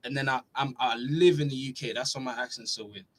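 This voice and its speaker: A young man from Norway hosts a live question-and-answer stream, reading out viewer questions and answering in a loose, chatty manner. His voice sits at an ordinary middle pitch. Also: fairly quick. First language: Norwegian. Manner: relaxed, chatty, reads questions aloud then answers. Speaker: fitness YouTuber answering live chat. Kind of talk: casual livestream Q&A.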